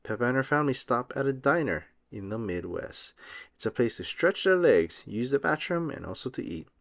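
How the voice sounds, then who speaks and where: A man reading a children's picture book aloud as an expressive storyteller, drawing out words for effect. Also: mid-range voice, normal speed, gravelly. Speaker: read-aloud host.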